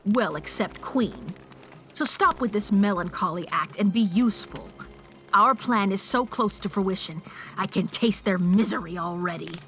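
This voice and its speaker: A woman's voice: mid-range voice, normal speed, fairly bright tone, slight background noise, natural voice. A woman playing a haughty, scheming changeling queen and older sister in an audio drama, boastful and condescending. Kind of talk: fan audio drama acting.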